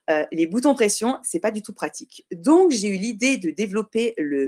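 A woman's voice: medium pitch, darkish tone. A woman of Portuguese heritage, a guest on a podcast, explaining her product step by step and correcting herself as she goes. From Portuguese family. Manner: earnest, explanatory, self-correcting with frequent pauses. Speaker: guest, baby clothing brand founder. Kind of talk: podcast interview.

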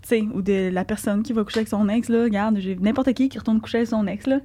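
A woman's voice: medium pitch, steady tone, fast, mellow. Chatty, slangy Québécois woman co-host. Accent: Québécois French. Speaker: podcast co-host. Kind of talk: casual chat.

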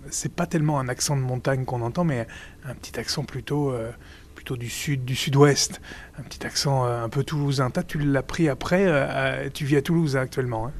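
A male podcast host with a mid-pitched voice, guiding a casual interview. He keeps the talk moving with short, probing follow-up questions. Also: a little fast, slight background noise.

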